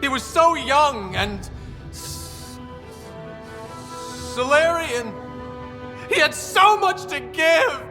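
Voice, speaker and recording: A man playing a young video game hero who hams up grief in an overwrought, halting way. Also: very high-pitched, lively intonation, full character voice. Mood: tearful grief. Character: young hero hamming up grief over a fallen comrade. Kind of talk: deliberate overacting in a video game. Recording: reverberant, music underneath.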